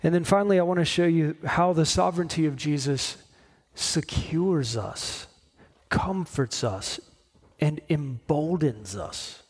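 A man in his forties preaching a sermon, explaining in measured short phrases with pauses. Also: a little high, husky. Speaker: pastor preaching. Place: church stage at a lectern, indoors.

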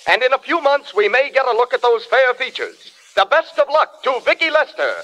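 An actor playing a punchy, gushing Hollywood gossip reporter, announcing like a 1930s radio newsflash. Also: male voice, very high voice, sing-song, pushed and bright, heavily acted, poor audio. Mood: excited. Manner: rapid-fire showbiz hype. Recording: vintage radio broadcast sound.

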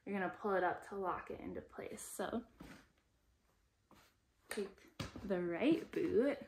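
A woman's voice: mid-range voice, slow, vocal fry, darkish tone, a little hiss or hum. A young woman talking casually and step by step as she demonstrates how her ski gear works. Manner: friendly, explains step by step while handling gear. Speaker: outdoor gear vlogger.

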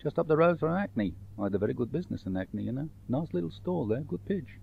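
Man playing a creaky, mild shopkeeper. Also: fairly high pitch, very animated, quick pace, voice pushed up, full character voice. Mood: reminiscing. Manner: repetitive, placating. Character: mild, conciliatory London shopkeeper avoiding trouble. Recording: dull home-made tape.

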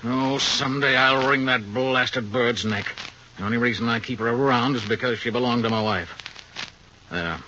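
A man's voice: medium pitch, moderate pace, sharp tone, close to an everyday voice. Actor as formal, irritable man, noisy radio. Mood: exasperated. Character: formal, irritable male airfield owner. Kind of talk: 1950 radio drama acting. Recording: muffled, noisy vintage radio recording.

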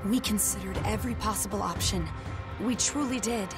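A woman playing a solemn, guilt-burdened young guardian in a video game, speaking in a measured, formal, earnest way. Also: medium pitch, natural voice. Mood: remorseful. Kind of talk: dramatic video game dialogue. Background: music.

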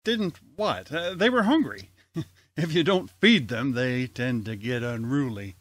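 A man's voice: mid-range voice, lively intonation, unhurried, a bit bright, no room sound. A man hosting an audio show, with scripted announcing and comic banter. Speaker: host.

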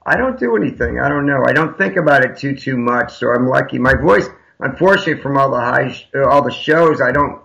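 A man's voice: mid-range voice, expressive, brisk, vocal fry, hardly any pauses, fairly bright tone, rough recording. A middle-aged man talking as a guest musician on a video call, easygoing and offhand. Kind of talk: casual chat on a video call.

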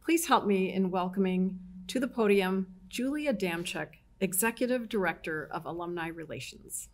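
A woman serves as emcee, making formal announcements. She introduces each speaker crisply. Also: mid-range voice, moderate pace. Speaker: ceremony emcee.

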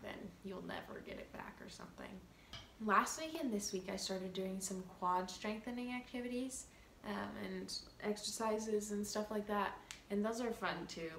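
Young woman, vlogging to webcam, gravelly edges, roomy bedroom sound. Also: medium pitch, a bit bright, a little hiss or hum. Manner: chatty, giggly, lots of likes and ums. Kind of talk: casual vlog talk to camera. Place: small bedroom.

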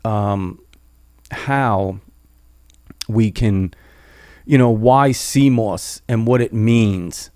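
Low mellow man hosting a podcast. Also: extremely slow, pauses often, dry recording, clean studio sound. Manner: rambling, keeps adding "right?". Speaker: podcast host. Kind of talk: casual interview talk. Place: small podcast studio. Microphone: studio boom mic with headphones, close.

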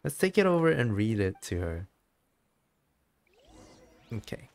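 Casual game streamer, creaky mid-pitched voice, chatty commentary mixed with read-aloud dialogue. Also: very animated, measured pace.

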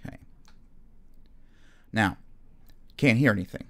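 Male tutorial narrator, lowish pitch, casual and explanatory, slightly hesitant off-the-cuff delivery. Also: takes it slowly, fairly bright tone. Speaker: music software instructor. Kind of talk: casual spoken screen tutorial.

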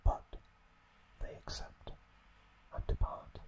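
A man's voice: takes it slowly, highs cut off. Whispering man, ASMR host. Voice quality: breathy, unvoiced whisper. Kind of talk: whispered ASMR recap narration. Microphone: close microphone.

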